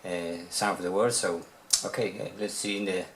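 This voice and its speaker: Deep-voiced Spanish man, halting English. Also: steady tone, a bit bright, a little hiss or hum. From Spain. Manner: hesitant, repeats words while searching for English. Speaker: video game collector showing purchases. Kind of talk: casual unboxing vlog.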